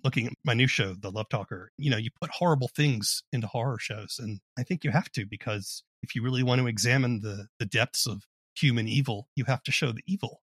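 A man hosts a conversational interview in a measured, reflective way. His voice is a bit rough and husky, recorded dry and close. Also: mid-range voice, a bit bright, no echo. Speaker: interviewer, host.

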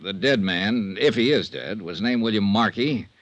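Man playing wry, animated 1950s radio detective. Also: lowish voice, no echo, natural voice. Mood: probing, cool. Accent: American. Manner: brisk, sardonic. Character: wry, quick-witted male freelance insurance investigator. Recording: 1950s radio drama recording.

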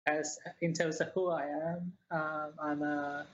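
A woman's voice: low-pitched, little pitch movement, takes it slowly, lo-fi sound. A woman from New Zealand, a guest scientist, explaining her work in a casual interview with many hesitant fillers.

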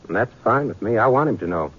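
A man's voice: on the low side, expressive, quick pace, a bit bright, breathy edge. An actor playing a vengeful western gunman with a rustic, taunting drawl, in 1950s radio drama style. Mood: coolly threatening. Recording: muffled old radio recording.

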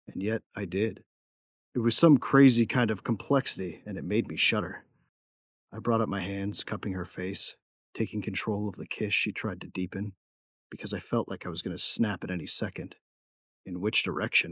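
Male actor as tough bounty hunter narrator; low, close, dry sound, brooding with a tender streak. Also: no echo, lightly acted. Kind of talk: first-person audio drama narration.

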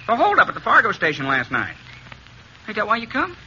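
Chatty frontier blacksmith: high-pitched and swooping, folksy, friendly braggart for an old radio western. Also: male voice, huge pitch swings, brisk, pushed and bright, full character voice. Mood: gossipy. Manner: folksy, eager, rustic cowboy speech. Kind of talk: 1950s radio western acting. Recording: dull, noisy old radio recording.

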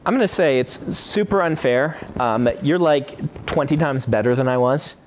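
Guy cohosting a writers podcast, casual critique talk, normal mid pitch. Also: moderate pace, slight background noise, rough recording.

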